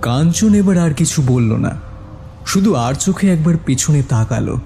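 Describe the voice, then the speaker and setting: Mellow male horror story narrator. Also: on the high side, animated, moderate pace, gravelly. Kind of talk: scripted suspenseful storytelling. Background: background music.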